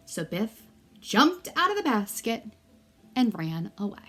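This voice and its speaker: Female storyteller for picture books: very animated intonation, unhurried pace, expressive emphasis. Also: medium pitch, soft background music. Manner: deliberate, dramatic emphasis for young listeners. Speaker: read-aloud storyteller. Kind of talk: expressive children's story reading.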